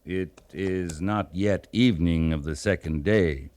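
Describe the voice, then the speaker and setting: A man playing a steady, laconic cavalry captain in an old-time radio western, speaking with measured authority. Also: low-pitched, slight background noise. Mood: firm, wary.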